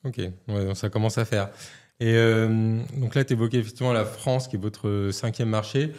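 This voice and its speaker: A man's voice: lowish voice, fairly even intonation, normal speed, darkish tone. A man interviewing company guests, asking long, hesitant questions.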